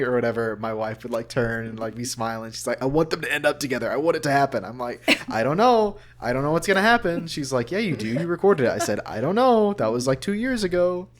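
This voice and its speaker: A man, a voice actor, chatting casually and telling anecdotes in an earnest, animated way. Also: medium pitch. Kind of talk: casual anecdotal interview chat.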